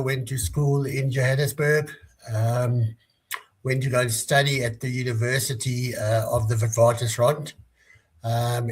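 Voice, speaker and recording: A man in his sixties from South Africa, answering interview questions with many hesitations and restarts over a remote call. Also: mid-range voice. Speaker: guest, business leader.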